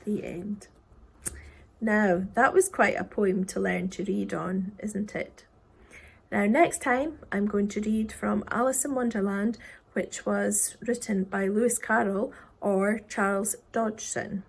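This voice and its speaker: A woman reading a children's poem expressively, with a theatrical manner that dips into hushed tones. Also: mid-range voice, steady tone, takes it slowly, creaky, breathy edge. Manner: theatrical, dropping into hushed whispers. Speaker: story reader.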